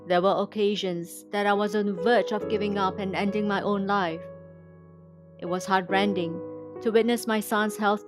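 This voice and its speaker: A woman from Indonesia reads a scripted inspirational testimony in a formal, measured way. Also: mid-range voice. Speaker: testimony speaker.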